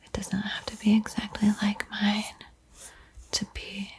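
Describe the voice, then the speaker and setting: A woman hosting an ASMR art tutorial in a soft, breathy whisper, gentle and unhurried, with frequent pauses. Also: medium pitch, completely flat delivery. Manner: slow, gentle, pausing often.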